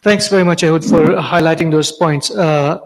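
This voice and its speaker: Panel moderator, reverberant hall. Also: male voice, fairly high pitch, moderate pace. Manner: hesitant, many fillers. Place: conference hall.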